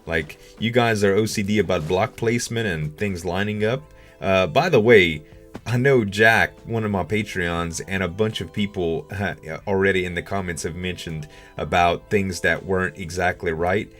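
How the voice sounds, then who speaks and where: Deep voice dude doing chill gaming commentary, rambly, faint music in back. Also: male voice, normal speed, fairly bright tone. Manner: rambling, thinking aloud. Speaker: gaming video host. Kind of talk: casual gameplay commentary.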